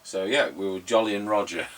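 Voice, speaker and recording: Middle aged bloke rambling through stories on a radio test, bit low voiced, mic sounds far away. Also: male voice, steady tone, moderate pace, a bit bright. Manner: rambling, anecdotal. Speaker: radio repairer and presenter. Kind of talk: casual chat and radio test commentary. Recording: noisy, distant microphone.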